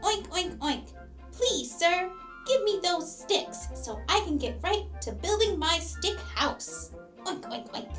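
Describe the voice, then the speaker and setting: A woman's voice: very high-pitched, big pitch swings, slow pace, fairly bright tone, faint music behind, full character voice. A woman voicing a small, cheeky, stubborn piglet in a children's puppet story, oinking between short singsong phrases. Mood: pleading, eager.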